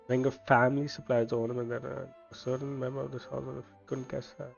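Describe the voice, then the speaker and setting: A man's voice: medium pitch, flat delivery, fairly quick, vocal fry, muffled recording, soft background music. A young man giving casual, laid-back game commentary in muttered reactions. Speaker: game streamer.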